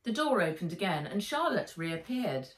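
A middle-aged woman author reading her children's story aloud, deliberate and dramatic, recorded in a room at home. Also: on the low side, very animated, distant mic. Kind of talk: expressive children's story narration. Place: indoors, living room or garden room at home. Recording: roomy, echoing home recording.

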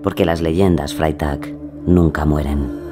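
An actor playing a grave, cautionary companion of outlaws in an audio drama. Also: male voice, a bass voice, fairly even intonation, takes it slowly, loud music behind the voice. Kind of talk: dramatized audio fiction acting.

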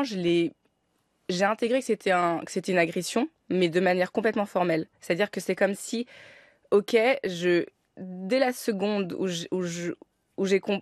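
A woman's voice: on the low side, no room sound. Woman in her 30s stumbling a bit thru her story, dry studio mic sound. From France. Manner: hesitant, many restarts and fillers. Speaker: film director guest. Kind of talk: radio interview. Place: radio studio. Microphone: studio mic on arm.

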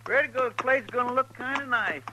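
A man's voice: very high-pitched, fairly quick. An actor with a rural American accent playing a folksy, deferential lawman's sidekick in a western radio drama. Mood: chatty. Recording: noisy 1950s radio recording.

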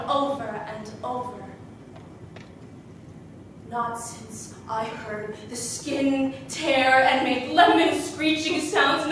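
Actress playing haunted female war survivor, distant roomy noisy stage recording, slow halting delivery, theatrical monologue, grieving. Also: a little high, fairly bright tone. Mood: wistful, tender. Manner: slow, halting phrases with deliberate pauses. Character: traumatized Bosnian woman refugee, grieving, haunted wartime survivor. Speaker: stage performer. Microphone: distant camera microphone.

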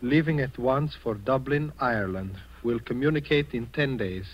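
An actor playing a meek, submissive nephew and reluctant accomplice in a 1940s radio drama. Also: male voice, medium pitch, moderate pace, natural voice. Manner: hesitant, submissive. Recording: muffled, noisy 1940s radio recording.

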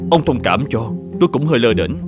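A man acting a frank older traveller, quick-spoken. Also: medium pitch, big pitch swings, music in the background, close to an everyday voice. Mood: disgruntled, polite.

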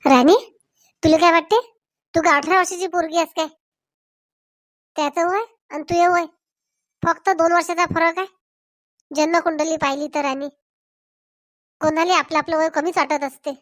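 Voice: extremely high pitch, steady tone, normal speed, long pauses, fairly bright tone, no room sound. A performer voicing earnest village elders in a comedy cartoon, coaxing and addressing people by name. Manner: coaxing, repeats names when addressing people. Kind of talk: Marathi comedy cartoon dialogue.

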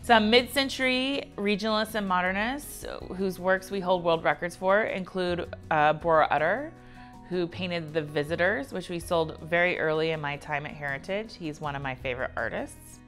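A woman's voice: lowish voice, moderate pace, fairly bright tone. An American woman in her thirties giving a polished, conversational promotional talk to camera as an art director. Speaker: auction house art director. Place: indoor office-like studio set. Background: background music.

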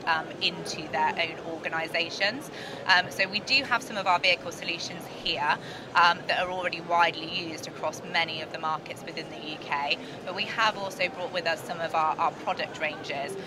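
A middle-aged British woman giving a promotional interview as a company director, explaining in a measured corporate way at a busy trade show. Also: fairly low pitch. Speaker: sales and marketing director being interviewed. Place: large indoor trade show floor. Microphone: handheld interview microphone at chest level. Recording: noisy busy exhibition hall.

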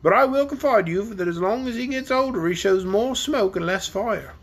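Woman putting on a young male dragon, gruff, boastful, sneering, lively storybook voice. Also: medium pitch, moderate pace. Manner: sneering, blunt. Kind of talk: audiobook character reading.

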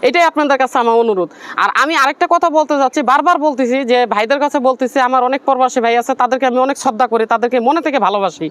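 A woman from Bangladesh, answering interview questions in a rapid, earnest, pleading way. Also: high voice.

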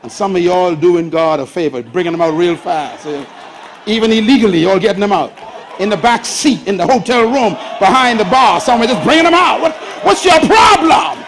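Animated Bahamian preacher man in his sixties. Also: high-pitched, normal speed, some chatter behind, a little hiss or hum. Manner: lively preacher, shifts from loud bursts to hushed asides. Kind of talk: sermon. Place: large church auditorium stage with audience.

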